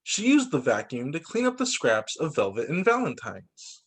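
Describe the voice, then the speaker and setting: Lively male teacher reading aloud. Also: a little high, normal speed, slightly rough. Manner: animated, stops to explain to kids. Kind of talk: reading picture books aloud to children. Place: home over a video call. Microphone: laptop mic on video call.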